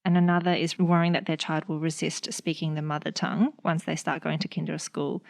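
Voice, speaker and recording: A young Australian woman talks in a relaxed, rambling way on a podcast. Her voice sits low and carries a gravelly fry. She leans on fillers as she thinks aloud. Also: fairly quick. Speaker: speech pathologist. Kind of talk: casual podcast chat.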